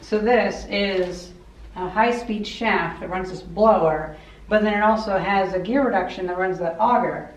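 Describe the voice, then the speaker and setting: A woman's voice: mid-range voice, a little slow. A woman casually narrating as she explores a cluttered boiler room, talking technically and using lots of fillers. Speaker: vlogger exploring a basement. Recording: echoey basement, dull camera audio, faint hiss.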